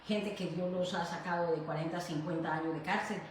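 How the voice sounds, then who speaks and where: A woman's voice: lowish voice, fairly even intonation, fairly quick. Echoey, distant Colombian woman hosting a show. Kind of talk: casual conversational hosting. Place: echoey room in her home. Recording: very echoey room, distant mic, faint noise.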